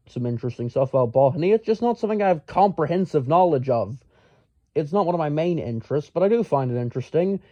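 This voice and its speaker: A man telling a casual, rambling story in a pedantic, exasperated, halting manner. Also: fairly high pitch, no echo, highs cut off. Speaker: storyteller, amateur science enthusiast.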